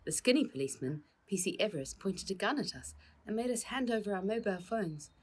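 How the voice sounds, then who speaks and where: A woman's voice: mid-range voice, animated, fast, a little mellow, slightly rough, pitched above the performer's own voice. A woman reading the parts of plucky, earnest children in a storybook adventure, speaking with urgency. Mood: shaken, breathless.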